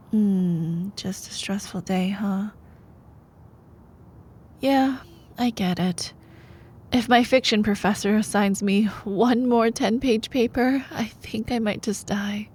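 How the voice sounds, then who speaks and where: A young woman playing a sweet, teasing girlfriend in an intimate ASMR roleplay, soft and playful, sometimes dropping toward a breathy whisper. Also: a little high. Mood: tender, concerned. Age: young adult. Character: sweet, teasing young girlfriend, a sleepy-hours college student. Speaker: ASMR voice performer.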